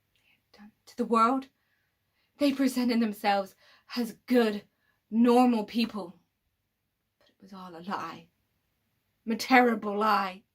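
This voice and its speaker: A woman performing a dramatic monologue as an embittered, coldly superior killer with psychic powers, pausing heavily and dropping into breathy whispers. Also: a little high. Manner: slow dramatic pauses, sinking into breathy whispers.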